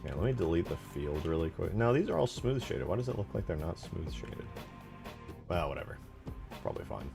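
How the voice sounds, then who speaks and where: Low voiced guy modding a game, chatting casually and thinking out loud, slangy, faint music behind him. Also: sing-song, fairly quick, creaky. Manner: thinking aloud, informal slangy phrasing. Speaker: game modder hosting a development stream. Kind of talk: casual unscripted commentary while working.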